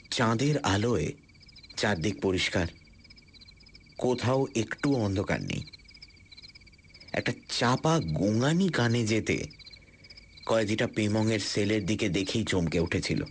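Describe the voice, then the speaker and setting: A man narrating a suspense story for radio in the first person, deliberate and theatrical with dramatic pauses. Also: fairly low pitch, big pitch swings, slight background noise. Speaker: story narrator and lead character. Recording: suspense music behind the voice.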